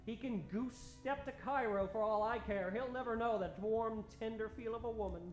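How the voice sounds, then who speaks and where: A man playing a pompous, ardent gentleman doctor in a melodramatic old-time radio adventure parody. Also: high-pitched, normal speed, roomy sound, faint music behind, natural voice. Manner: melodramatic, declamatory.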